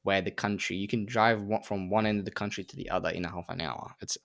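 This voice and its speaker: A man's voice: fairly low pitch, fast talker, vocal fry, no room sound, clean studio sound. A man in his twenties from Turkey, a podcast guest talking casually with frequent laughs and fillers. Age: 20s. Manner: relaxed, laughs often, many fillers. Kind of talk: casual conversation.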